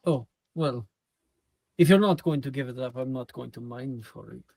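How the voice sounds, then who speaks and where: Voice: mid-range voice, vocal fry, a little mellow, no room sound. Casual game master narrating a tabletop game. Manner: casual, laughing, quick asides.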